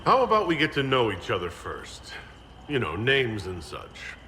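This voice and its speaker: A man voicing a composed, diplomatic heroic leader in a video game, speaking in a measured, questioning way. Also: medium pitch, huge pitch swings, pushed and bright, slight background noise. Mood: measured, wary. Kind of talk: video game character acting.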